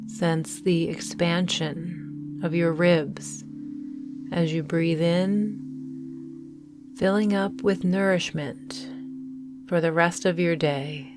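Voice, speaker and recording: A woman guiding a mindfulness meditation in a calm, soothing, measured way, leaving long pauses between phrases. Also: lowish voice, music in the background. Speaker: meditation guide. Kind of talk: guided mindfulness meditation narration.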